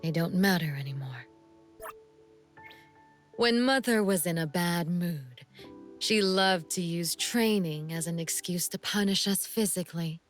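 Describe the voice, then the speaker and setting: An actress voicing a seductive, sadistic female assassin in a video game, languid and teasing, with a deliberate, breathy delivery and cool menace. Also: lowish voice, soft background music, close to an everyday voice. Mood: cool, reflective. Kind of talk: video game character voice lines.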